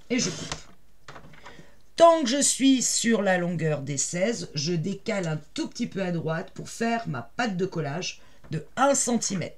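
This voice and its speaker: A woman with a naturally raspy voice and a head cold, chatting casually with many fillers as she explains a paper craft project step by step while she works. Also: mid-range voice, a little hiss or hum. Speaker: crafting tutorial host.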